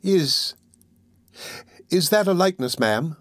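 A man voicing a timid, sickly orphan boy who speaks softly, politely and hesitantly, in a storybook reading. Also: a little high, expressive, takes it slowly, no echo, studio quality, pitched above the performer's own voice.

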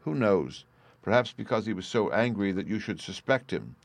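An earnest young gentlewoman from a classic storybook, as a male narrator plays her. She speaks politely and with pleading emphasis, the pitch lifted a little above a man's usual talk. The sound is dry and close. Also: big pitch swings, moderate pace, creaky, dry recording, exaggerated voice.

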